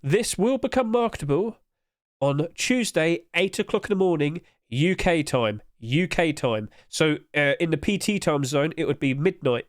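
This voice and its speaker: Guy with a stuffy nose rambling about game market stuff, kinda high voice, dry close mic. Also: lively intonation, moderate pace, no room sound. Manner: chatty, loose, lots of fillers and restarts. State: blocked-up runny nose. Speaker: gaming market commentator. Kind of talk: casual screen-share commentary.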